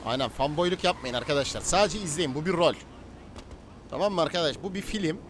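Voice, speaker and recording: A man's voice: on the high side, animated, fairly quick, a bit bright, slight background noise. A man with a Turkish accent streaming online roleplay, bossy, slangy and combative as he commentates and talks to players. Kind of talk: live gaming commentary and in-game roleplay chat.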